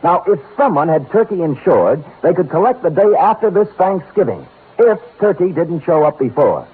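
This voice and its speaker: An actor playing a wry, unflappable, hard-boiled private detective, acting and narrating in 1950s radio style. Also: male voice, a little high, very animated, normal speed, slightly rough. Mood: brisk, urgent. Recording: narrow-band old radio broadcast.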